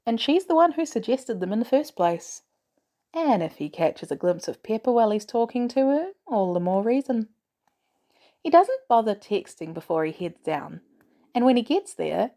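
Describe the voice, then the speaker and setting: Mellow woman narrating a story. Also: a little high, very animated, moderate pace. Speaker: podfic reader. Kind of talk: scripted narration of fan fiction.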